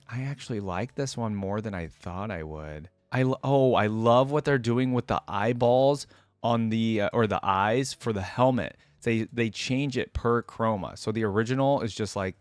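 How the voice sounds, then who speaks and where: A man's voice: fairly low pitch, no echo. A man hosting a reaction video in a casual, enthusiastic, informal way, commenting and reading aloud.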